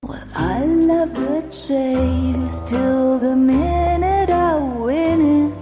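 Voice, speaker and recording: A woman singer performing a slow jazz club song. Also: sits high, lo-fi sound. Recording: loud music behind the voice.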